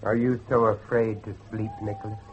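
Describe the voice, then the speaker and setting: An actor playing an older, devout, haunted Victorian father in a theatrical horror drama, speaking haltingly. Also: fairly low pitch, flat delivery, muffled recording, slight background noise, close to an everyday voice. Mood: grave, searching. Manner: theatrical, halting, repeats himself. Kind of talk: dramatic horror audio acting.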